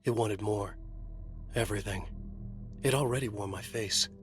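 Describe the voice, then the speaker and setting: A man's voice: fairly low pitch, moderate pace, a little hiss or hum, close to an everyday voice. A man playing a haunted writer in a video game, narrating his nightmare in terse, brooding, dramatic lines. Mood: uneasy, brooding. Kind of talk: scripted noir-style game narration.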